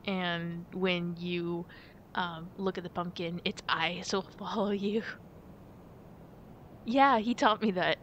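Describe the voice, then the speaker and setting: A young woman playing a playful, affectionate girlfriend in a chatty ASMR roleplay, with gentle hesitant pauses. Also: fairly even intonation, lo-fi sound. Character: playful, affectionate girlfriend on a pumpkin-picking outing. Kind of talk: ASMR girlfriend roleplay, casual one-sided chat. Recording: rumbly background noise.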